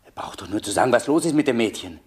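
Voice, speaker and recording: A man's voice: medium pitch, lively intonation, fast, close to an everyday voice. An actor playing a suspect's indignant, protective brother in a crime radio drama, pressing his point insistently. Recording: noisy, echoey old radio drama recording.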